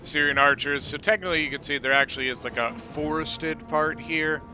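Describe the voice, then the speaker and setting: A man with a slightly high voice talks through a battle replay in a loose, chatty way, filling gaps with "you know" and "uh." Music plays clearly behind him throughout. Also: fairly even intonation, a little fast. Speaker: gaming channel host. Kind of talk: casual battle replay commentary.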